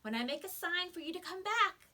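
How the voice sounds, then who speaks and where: A woman's voice: very high-pitched, expressive, fairly quick, pushed and bright, recorded from a distance, voice pushed up, heavily acted. A woman reading the part of a firm, commanding brother in a children's storybook. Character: stern older village brother, firm.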